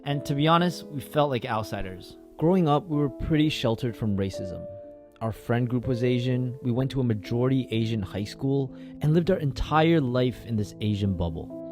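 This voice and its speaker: A man giving measured, reflective scripted narration for a travel vlog. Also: medium pitch, animated. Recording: narration over background music.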